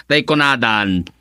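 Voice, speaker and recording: A joking drinking buddy who teases his friend and laughs easily. His pitch swings widely around a middle range. The recording is close and dry. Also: pushed and bright, no room sound, exaggerated voice. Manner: teasing, laughs often. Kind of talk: radio drama acting.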